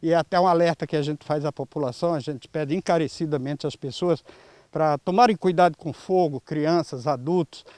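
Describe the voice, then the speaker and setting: A Brazilian man in his early sixties answering an interview earnestly and haltingly through a face mask, into a handheld microphone. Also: on the high side, darkish tone, no echo. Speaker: environmental volunteer leader. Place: outdoors in a grassy clearing. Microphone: handheld reporter microphone.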